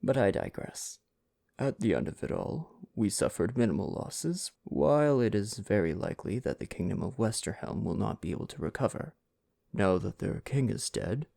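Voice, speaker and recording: A man playing a tender, devoted prince in an intimate ASMR roleplay, soothing and formal in his phrasing, with a breathy voice that often drops to a whisper. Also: on the low side, very animated, moderate pace, dark tone, dry recording, studio quality, exaggerated voice. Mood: calm storytelling. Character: tender, devoted prince fiancé back from war.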